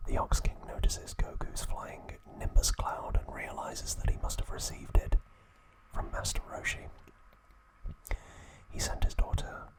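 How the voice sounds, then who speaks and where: A man narrating scripted trivia as an ASMR host in a soft, breathy, unhurried whisper. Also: low-quality recording.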